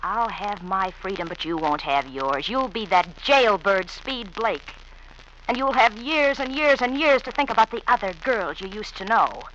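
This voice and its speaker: An actress playing a spiteful, taunting wife in an old radio thriller, with pointed, needling, dramatic delivery. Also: female voice, mid-range voice, lively intonation, normal speed, a bit bright, natural voice. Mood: cold, taunting. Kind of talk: 1940s-50s radio thriller acting. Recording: muffled, noisy vintage radio recording.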